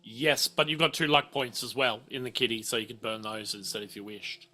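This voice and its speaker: A man's voice: mid-range voice, steady tone, brisk, crowd noise. A man running a tabletop game as game master, explaining and thinking aloud as he improvises.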